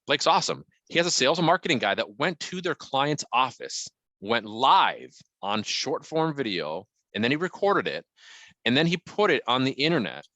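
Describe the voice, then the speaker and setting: A middle-aged man with a booming voice gives emphatic, conversational business advice, often checking in with listeners. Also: very animated, normal speed, pushed and bright, dry recording. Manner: emphatic, punchy, frequently checking in with "right?". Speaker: guest marketing consultant.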